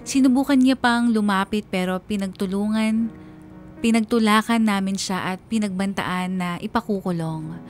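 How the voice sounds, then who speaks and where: Actress as mother narrator, medium pitch, regretful, earnest, confessional radio drama, gentle music bed. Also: normal speed, close to an everyday voice. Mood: regretful, reflective. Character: regretful, resilient mother telling her life story.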